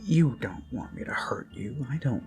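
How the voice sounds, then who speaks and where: A performer of unclear sex improvising an ASMR roleplay as an obsessed kidnapper who dotes menacingly on a captive, halting and slipping into whispers. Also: mid-range voice, animated, darkish tone, lightly acted. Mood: soothing, threatening. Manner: slow, halting, lapsing into whispers and giggles. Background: eerie background music.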